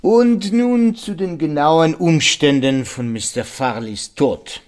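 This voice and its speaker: An actor playing a courteous male detective who questions people deliberately in a German radio drama. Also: on the high side, darkish tone. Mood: inquiring.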